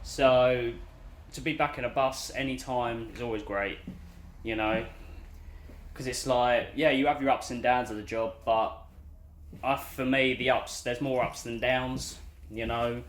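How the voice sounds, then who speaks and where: A young man with a London accent chatting casually as a bus driver, his voice hoarse and croaky, rambling with lots of fillers. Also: medium pitch, fairly even intonation, measured pace, roomy sound, slight background noise. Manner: rambling, filler-heavy, loses his train of thought. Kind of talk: casual vlog chat. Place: inside a bus cab.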